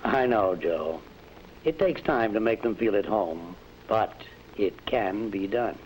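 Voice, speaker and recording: An American man acting as a patient, patronizing factory manager in a 1940s instructional film, speaking in a measured, didactic way with hesitant uhs. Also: lowish voice, expressive, a little hiss or hum, rough recording. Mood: patient, instructive. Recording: vintage 1940s film soundtrack, music.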